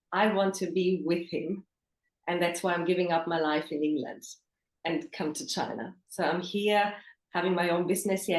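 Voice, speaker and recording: Lowish woman coach, echoey call audio. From Germany. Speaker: intimacy coach guest. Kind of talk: interview answers.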